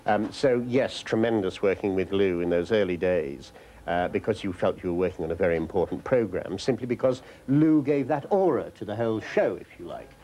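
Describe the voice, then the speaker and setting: A man talking reflectively and emphatically in an interview as a political correspondent, letting the ends of phrases trail away quietly. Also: low-pitched, fairly quick. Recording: clear background noise.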